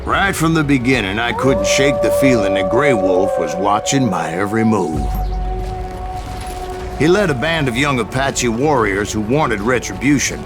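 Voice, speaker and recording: An actor playing an older, weathered bounty hunter in a Western game, telling his tales in a folksy, wry, measured way. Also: mid-range voice, lively intonation, lightly acted. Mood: grave, reflective. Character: older weathered male bounty hunter recounting his Old West exploits. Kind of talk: storytelling narration in a Western game. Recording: music behind the voice.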